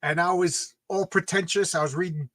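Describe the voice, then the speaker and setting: A man's voice: high voice, fairly even intonation, normal speed, fairly bright tone, no room sound. A middle-aged man with a New York accent, hosting a casual chat and telling stories with many stammered restarts. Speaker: host. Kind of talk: casual conversation.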